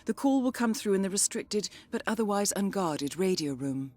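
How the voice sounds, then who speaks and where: An actress playing a composed intelligence handler who delivers a formal mission briefing in a game. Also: female voice, mid-range voice, expressive, normal speed, darkish tone, close to an everyday voice. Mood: informative.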